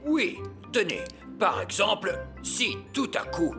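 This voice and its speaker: A wise old male elf from a children's cartoon, proud and courteous. He speaks slowly with big swoops of pitch. Music plays behind him in a long reverberant space. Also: huge pitch swings, heavily acted. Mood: proud, explaining. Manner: theatrical, courteous, emphatic announcing. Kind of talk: French cartoon dub acting.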